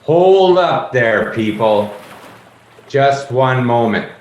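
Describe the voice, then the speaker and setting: Man as radio play detective, accusatory, deliberate, theatrical, very animated, faint noise. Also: medium pitch. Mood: commanding. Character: shrewd, theatrical police inspector unveiling a murder. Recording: roomy, faint background noise.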